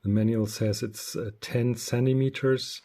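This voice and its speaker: A man giving a casual, unscripted product review, speaking haltingly with frequent pauses. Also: mid-range voice, fairly even intonation, dark tone. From Germany. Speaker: radio reviewer.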